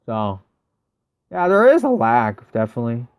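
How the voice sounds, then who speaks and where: A man's voice: mid-range voice, very animated, normal speed, clean tone, dry recording. Casual male streamer, clean resonant voice, chatty gameplay talk, very dry sound. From United States. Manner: chatty, answers viewers' messages, thinks aloud. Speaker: livestream host. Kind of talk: casual livestream gameplay chat.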